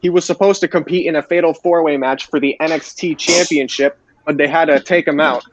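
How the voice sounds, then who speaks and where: A man hosting a podcast, giving a casual wrestling recap in a halting way, often repeating phrases. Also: on the high side, fairly even intonation, slight background noise. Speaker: podcast host. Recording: roomy, dull lo-fi voice chat.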